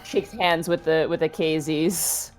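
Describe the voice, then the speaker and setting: A woman with a slightly low voice talks casually as a tabletop player, describing her moves and rolls. There is faint music in the background. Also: fairly even intonation, a little slow, darkish tone. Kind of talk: casual game table chat. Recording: music playing behind the voice.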